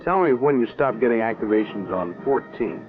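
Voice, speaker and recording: An actor playing an army officer at a sensor monitoring post, using crisp radio-procedure talk. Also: male voice, mid-range voice, expressive, a little fast, soft background music, close to an everyday voice. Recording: dull lo-fi 1960s film soundtrack with faint hiss.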